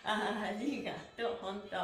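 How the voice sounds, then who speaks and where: A woman's voice: medium pitch, fairly even intonation, normal speed. A middle-aged woman leading a stretching class, giving cheerful, encouraging cues and rhythmic chants, recorded from a camera a few metres away in a small room. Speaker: stretching instructor and host. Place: small bare-walled indoor studio. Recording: echoey room, distant microphone.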